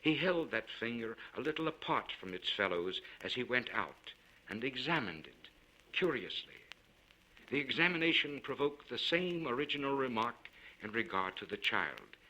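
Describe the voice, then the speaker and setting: A man reading a literary story aloud in a measured, formal and expressive way. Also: mid-range voice, low-quality recording. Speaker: audiobook narrator.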